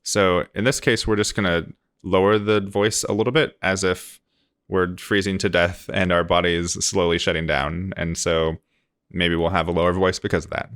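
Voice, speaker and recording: A man presenting a casual software tutorial in an informal, easygoing way, explaining things step by step. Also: deep voice, level tone, normal speed, dry recording, studio quality.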